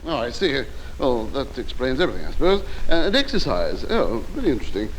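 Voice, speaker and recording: An actor playing an earnest, gullible and polite British radio reporter in an old radio comedy. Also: male voice, medium pitch, wildly swooping pitch. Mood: puzzled, polite. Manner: earnest, brisk, politely hesitant. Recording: faintly noisy old radio recording.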